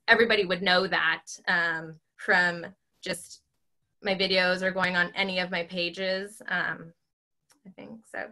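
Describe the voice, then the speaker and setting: A woman in her thirties, a real estate agent, chatting on a video call from home in a squeaky, animated voice full of ums. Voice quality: squeaky, high-pitched voice. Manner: animated, talks with her hands, many ums. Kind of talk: casual video call chat. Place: small bedroom at home, indoors. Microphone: laptop webcam on video call.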